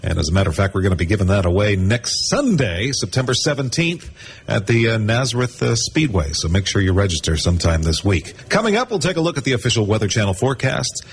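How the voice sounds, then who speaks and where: A man's voice: lowish voice, sing-song, quick pace, a little hiss or hum. A man hosting an oldies radio show with chatty, playful patter and asides between songs. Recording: radio broadcast with loud music behind. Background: loud oldies music.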